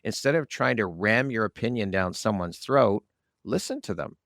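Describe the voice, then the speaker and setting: A man with a deep voice hosts an interview podcast. He speaks conversationally, and the sound is dry, with very little room in it. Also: animated, moderate pace, vocal fry, fairly bright tone, no echo. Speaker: podcast host.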